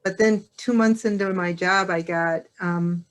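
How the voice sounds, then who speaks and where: A woman's voice: on the low side, fairly even intonation, unhurried, rough recording. A woman introducing herself on a video call in a friendly, chatty way. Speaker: public health staff guest. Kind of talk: self-introduction.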